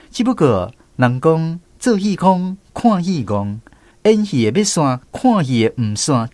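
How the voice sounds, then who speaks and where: A man's voice: mid-range voice, a little mellow, dry recording. A man with a Taiwanese accent hosting a radio programme and narrating a scripted story. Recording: music playing behind the voice.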